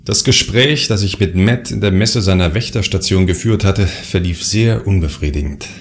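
An actor playing a scornful, ambitious cult leader, narrating theatrically in a science fiction audio drama. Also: male voice, low-pitched, moderate pace, slight background noise. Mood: disdainful, brooding.

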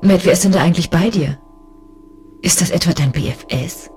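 A woman plays a female police inspector in space, bitter and lonely. Her low voice is crisp and sardonic, full of reproach, with music behind it in a sci-fi radio drama. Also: fairly quick, a little mellow. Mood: pressing. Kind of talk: science fiction audio drama acting and narration.

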